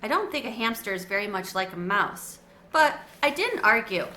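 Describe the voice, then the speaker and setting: A woman reading a children's chapter book aloud with expressive, animated storytelling. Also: mid-range voice, normal speed. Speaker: storybook reader. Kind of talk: children's read-aloud narration.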